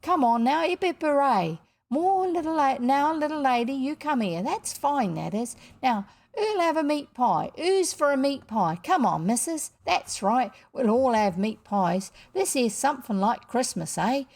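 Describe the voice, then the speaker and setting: A woman's voice: high voice, big pitch swings, a little slow, hardly any pauses, a little mellow, pitched above the performer's own voice, heavily acted. A woman voicing a boisterous, jovial working-class father in a children's storybook, colloquial and full of exclamations. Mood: hearty, festive. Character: boisterous, hearty working-class father just back home. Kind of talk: children's storybook character reading.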